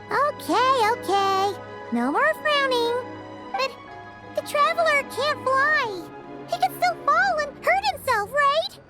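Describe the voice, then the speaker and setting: A woman voicing a tiny, excitable, childlike fairy companion in a game, bubbly and exclamatory. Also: very high-pitched, slow, loud music behind the voice, heavily acted. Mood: worried, curious. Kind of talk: animated game voice acting.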